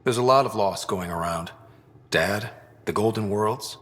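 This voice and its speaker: An actor playing an easygoing young space explorer and leader in a video game, casual and wry. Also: male voice, lowish voice, a little slow, a bit bright, in a large hall. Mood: concerned. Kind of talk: video game dialogue acting.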